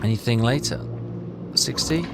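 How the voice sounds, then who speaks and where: A man's voice: mid-range voice, normal speed, vocal fry, background noise. A man doing casual let's play commentary, muttering and questioning himself as he thinks aloud. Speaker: video game player and commentator. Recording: game music behind the voice. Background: game music.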